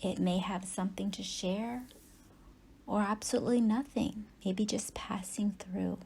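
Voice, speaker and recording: A woman teaches guided meditation at a very slow pace, leaving long pauses between short phrases. Her voice is mellow and relaxed, with a soft, husky breathiness. She gives gentle instructions without hurry. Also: medium pitch.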